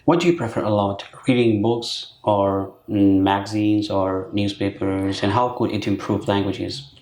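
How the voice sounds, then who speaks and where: Very deep-voiced woman examiner, echoey room. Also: flat delivery, measured pace, a little mellow. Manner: brief, with frequent murmured backchannels. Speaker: speaking examiner. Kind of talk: mock exam questioning. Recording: echoey room with faint noise.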